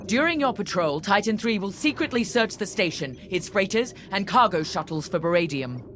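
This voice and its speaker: A woman's voice: mid-range voice, animated, normal speed, a bit bright, a little hiss or hum, close to an everyday voice. An actress playing a proud, ruthless woman starship captain who speaks in a clipped, formal, commanding way in a video game. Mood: stern briefing. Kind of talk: scripted video game cutscene acting.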